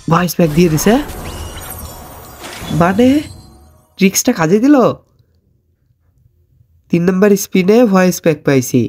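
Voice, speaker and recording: A man's voice: a little high, darkish tone. A man with a Bangladeshi accent giving casual, slangy game commentary straight to viewers as a gaming host.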